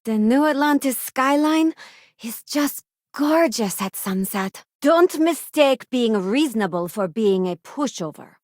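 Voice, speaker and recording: An earnest young woman serving as a space ranger and crew member in a video game. Her voice sits a little high and she speaks without hurry, sincere and plain-spoken about her principles. The recording is close and dry. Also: expressive, unhurried, dry recording. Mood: earnest, thoughtful. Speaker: voice actress playing a game companion. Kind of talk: scripted video game voice lines.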